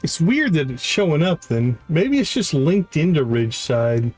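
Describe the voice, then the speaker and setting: A man's voice: fairly high pitch, very animated, moderate pace, a little mellow. Man musing over game music. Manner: musing, talks to viewers and self. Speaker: video game livestreamer. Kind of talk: casual live gameplay commentary, thinking aloud.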